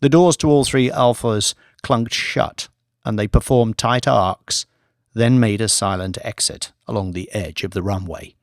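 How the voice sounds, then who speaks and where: A man's voice: on the low side, a little slow, no room sound, clean studio sound. A man reading scripted thriller narration as an audiobook narrator.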